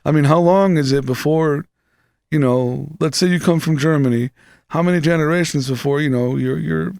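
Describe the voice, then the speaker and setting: Gravelly New man, casual podcast talk. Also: a little high, moderate pace, darkish tone, no echo, clean studio sound. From New York City. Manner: loose, profane, full of fillers and asides. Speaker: podcast co-host. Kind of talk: casual chat. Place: small studio room, indoors. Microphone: studio mic close up.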